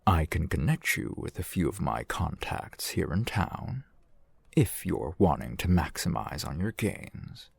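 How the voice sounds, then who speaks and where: A man playing a suave, shrewd fantasy shopkeeper in an audio roleplay, speaking in measured, formal, wry phrases with a gravelly, husky voice, close to the mic. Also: a bass voice, big pitch swings, no echo, exaggerated voice. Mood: sly, businesslike. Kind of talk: fantasy ASMR roleplay acting. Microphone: close microphone, dry sound.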